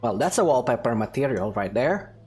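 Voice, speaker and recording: A man's voice: medium pitch, very animated, fairly quick, darkish tone, faint music behind. A man giving casual commentary as host of a game playthrough. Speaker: gaming video host.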